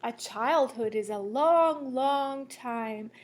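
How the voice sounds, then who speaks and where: A woman reading a children's picture book aloud like a teacher, in a measured, sing-song storytelling way. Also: sits high, slight background noise. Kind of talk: children's read-aloud storytelling with asides to listeners.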